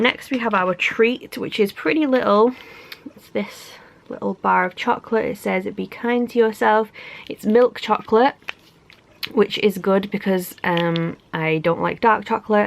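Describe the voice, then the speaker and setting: A woman's voice: medium pitch, gravelly. A young woman from England with a stuffy, congested cold, chatting casually through an unboxing with lots of likes and ums. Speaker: beauty vlogger.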